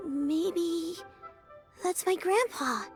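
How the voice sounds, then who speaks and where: A woman's voice: very high voice, extremely slow, soft background music, full character voice. A woman voicing a curious young girl who speaks shyly and hesitantly.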